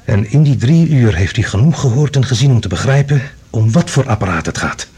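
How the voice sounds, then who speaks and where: Fast-talking, husky, urgent man. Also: mid-range voice, expressive, dark tone. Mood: grave, tense. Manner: urgent, earnest, dramatic. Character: agitated urgent man, colleague bringing alarming news. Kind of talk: science fiction radio play acting.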